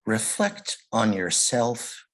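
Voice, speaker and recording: A man leading a guided meditation, speaking gently in short phrases with long pauses. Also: fairly low pitch, very animated. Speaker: meditation leader.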